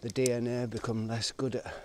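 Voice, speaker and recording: A man of middle pitch thinks aloud as he cycles along country lanes, wandering from one thought to the next. His voice comes through a bike-mounted camera, with steady wind and road noise behind it. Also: fairly quick. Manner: musing, wandering, loose and unscripted. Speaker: vlogger. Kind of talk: rambling monologue while cycling. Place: outdoors on a country road and woodland, riding a bike. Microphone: action camera on the bike. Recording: noisy outdoor action-camera audio.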